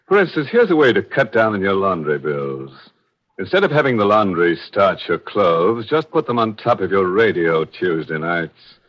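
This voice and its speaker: A man's voice: deep voice, sing-song, moderate pace. A man hosting and narrating an old radio mystery show in a theatrical, tongue-in-cheek macabre manner. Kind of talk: 1940s radio horror hosting, scripted narration. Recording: dull lo-fi 1940s radio recording. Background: music.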